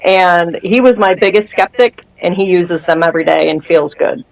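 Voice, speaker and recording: Lively storytelling woman in her fifties. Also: on the low side, moderate pace, vocal fry, poor audio. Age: 50s. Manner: animated storyteller who acts out quoted dialogue and laughs often. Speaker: guest sharing a testimony. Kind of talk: casual interview chat.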